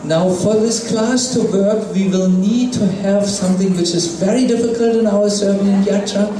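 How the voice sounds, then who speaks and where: A man's voice: high voice, steady tone, normal speed. Distant man lecturing in an echoey hall. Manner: firm, teasing scolding in short phrases. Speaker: spiritual teacher. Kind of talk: lecture given through an interpreter. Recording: echoey room, distant mic, loud ambience.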